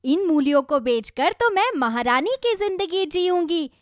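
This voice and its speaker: A woman's voice: very high voice, lively intonation, moderate pace, no echo, studio quality. A woman voicing a demanding, boastful young village wife in a children's moral story. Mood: gleeful, boastful.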